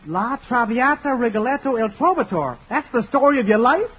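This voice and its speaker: An actor playing an eager, naive young opera writer in a 1940s radio comedy, flattering and easily baffled. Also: male voice, extremely high pitch, brisk, slightly rough, full character voice. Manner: exclaiming, easily baffled straight-faced questioning. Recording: dull, faintly noisy old radio broadcast.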